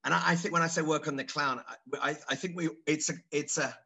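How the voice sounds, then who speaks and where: A man's voice: fairly high pitch, fairly even intonation, fast talker, pushed and bright, breathy edge. A middle-aged man introducing himself informally on an online panel, playful and rambling, often repeating his phrases. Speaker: panelist, clown and drama therapist. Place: online video call.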